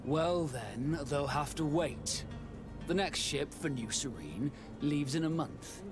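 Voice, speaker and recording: A proud, guarded ship's captain in a video game cutscene. His formal, dryly sardonic lines swing animatedly in pitch, with music playing clearly behind him. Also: animated, slow. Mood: firm, dismissive. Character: gruff proud male sea captain, wry and guarded. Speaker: ship captain.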